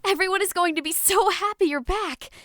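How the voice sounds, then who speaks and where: A woman playing an excitable, emotional young friend in a dramatic audiobook, speaking with emphatic feeling. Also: extremely high pitch, brisk, no room sound, clean studio sound. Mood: relieved, tender.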